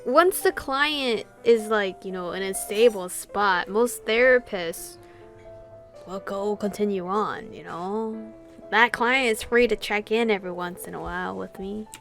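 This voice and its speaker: A woman's voice: medium pitch, measured pace, a bit bright, soft background music. A woman giving blunt, sarcastic, sweary casual commentary as she plays a game. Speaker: gaming YouTuber. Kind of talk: casual let's play commentary.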